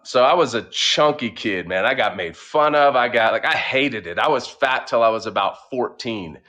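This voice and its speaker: A man from Oklahoma with a slight Oklahoma drawl, telling his life story as a guest in a casual interview, relaxed and full of fillers. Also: medium pitch. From Oklahoma, USA. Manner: relaxed, drawls some words, frequent fillers. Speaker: podcast guest, entrepreneur.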